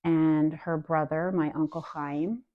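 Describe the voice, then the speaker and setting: A middle-aged woman describing a family photo of her mother, slow and careful. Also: sits low, steady tone, phone-quality sound. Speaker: daughter of survivor.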